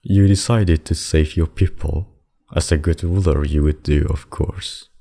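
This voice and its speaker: A man voicing a possessive demon king and lover in a scripted ASMR roleplay, speaking deliberately and intimately. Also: rumbling low, steady tone, vocal fry, warm tone, full character voice. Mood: smug, possessive. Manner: slow, deliberate, intimate addresses with pet names. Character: possessive demon king, menacing abductor turned tender secret lover.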